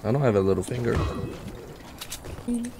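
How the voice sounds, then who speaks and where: A man's voice: medium pitch, huge pitch swings, moderate pace, vocal fry, background noise. A man on casual gaming voice chat, brash and profane, teasing his teammates with mock aggression. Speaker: streamer trolling random teammates.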